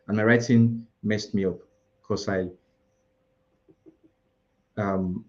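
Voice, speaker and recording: A man's voice: fairly low pitch, a little mellow, highs cut off. A man with a Nigerian accent, teaching an IELTS preparation class live, explaining scoring and listing word alternatives with frequent restarts. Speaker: IELTS tutor.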